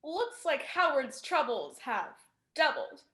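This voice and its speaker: A woman's voice: sits high, very animated, takes it slowly, echoey room, heavily acted. A woman playing a dramatic, teasing announcer for a radio soap opera.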